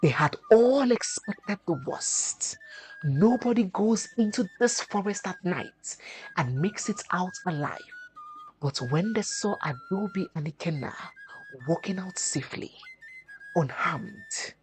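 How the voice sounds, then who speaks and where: Slow, dramatic woman storyteller with sweeping pitch. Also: animated, darkish tone, rough recording. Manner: deliberate, dramatic, phrase-by-phrase delivery. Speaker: storyteller and channel host. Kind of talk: scripted dramatic folktale narration.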